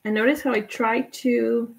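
A young woman from Guatemala whose first language is Spanish, chatting relaxed and giving step-by-step tips in a live painting tutorial. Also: on the high side, unhurried, gravelly. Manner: relaxed, chatty, laughs often, answers viewers' comments. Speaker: painting instructor.

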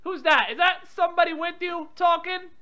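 Woman, very high and forceful voice, testy phone banter, muffled lo-fi recording. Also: brisk. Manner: irritable, confrontational. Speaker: prank call participant. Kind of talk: phone banter, reading out weather updates. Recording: dull lo-fi audio.

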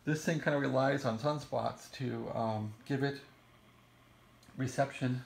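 An American man, middle-aged or older, giving a casual, rambling, unscripted show-and-tell in a husky, gravelly, musing voice. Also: mid-range voice, fairly even intonation, unhurried, a little hiss or hum. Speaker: vlogger showing a vintage radio. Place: bedroom in a house. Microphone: handheld camera, close behind it.

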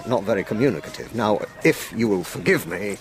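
A stiff, uneasy English provincial gentleman in a radio play. He talks fast and curtly, with lively pitch movement and formal phrasing. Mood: evasive. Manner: clipped, formal, curt. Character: evasive, stiff, uneasy small-town office man. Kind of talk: radio drama acting.

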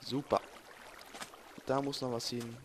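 A young man, a bit hoarse, rambling and joking to viewers while commentating his gameplay. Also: mid-range voice, little pitch movement, slow, slight background noise. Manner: joking, rambling, self-correcting, talks to viewers. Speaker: let's play gamer.

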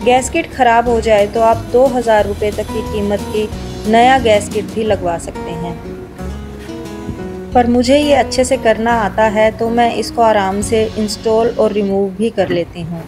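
A woman with an Indian accent giving a calm, step-by-step voice-over for a home cleaning video. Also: fairly high pitch, unhurried. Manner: explains each step in a steady how-to tone. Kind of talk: calm scripted voice-over narration. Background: background music.

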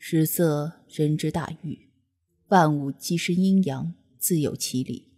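Woman doing a low, solemn male god. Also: takes it slowly. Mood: solemn calm. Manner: calm, solemn, preachy. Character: serene, austere male god, moralizing and unmoved.

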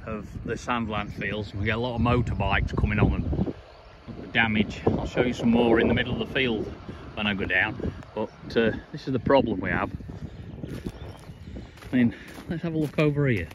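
A middle-aged man, a farmer giving a plain-spoken, halting, casual video diary commentary. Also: lowish voice. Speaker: farmer, vlogger. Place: tractor cab and open fields. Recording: noisy tractor cab and windy outdoors.

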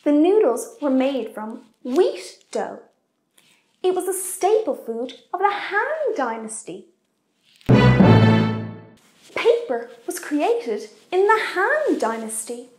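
A young woman hosting a kids' cooking show, presenting facts in an animated, deliberate way. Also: high voice, soft background music. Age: young adult. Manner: animated, expressive, deliberate for kids. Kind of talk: children's educational presenting. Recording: echoey room.

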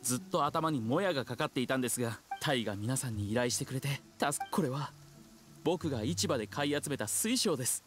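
A man's voice: mid-range voice, animated, fairly quick, a bit bright, soft background music, close to an everyday voice. A man playing an earnest young helper in an anime game, speaking politely and deferentially. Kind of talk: anime video game voice acting.

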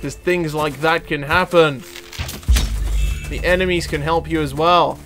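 A man with a slightly high voice gives casual video game commentary at an unhurried pace. He is jokey and self-mocking, given to exclaiming and chatting to the game's characters. Music plays clearly behind him. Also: steady tone. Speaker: gaming video host.

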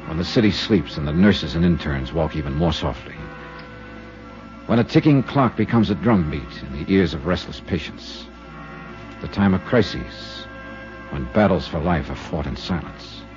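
A man's voice: sits low, expressive, normal speed. An actor playing a terse, world-weary male insurance investigator who also narrates, in 1950s radio drama style. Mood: brooding. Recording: muffled old radio broadcast with music.

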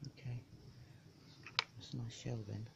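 A man's voice: medium pitch, little pitch movement, measured pace, gravelly, highs cut off. A man filming and chatting in a teasing, joking way while his friend opens a box outdoors in a garden. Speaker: co-host filming. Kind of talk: casual chat. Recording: noisy outdoor background.

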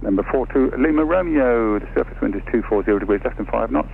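Fast-talking male air traffic controller over radio. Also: medium pitch, gravelly. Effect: aviation radio. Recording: narrow crackly radio link, noisy.

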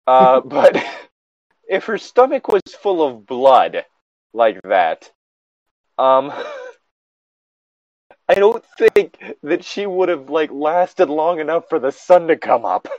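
A man's voice: a little high, very animated, takes it slowly, pauses often, a little mellow, no room sound, dull audio. A man giving casual, sweary and sardonic commentary as he reads and reacts to a story. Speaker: host reading and reacting to a horror story.

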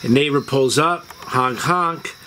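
A man's voice: medium pitch, slow pace, pushed and bright. A man hosting a video, telling personal stories in a casual way. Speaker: video host. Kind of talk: casual storytelling. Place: outdoors on a house porch at dusk. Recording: outdoor noise, chirping insects. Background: crickets and insects.

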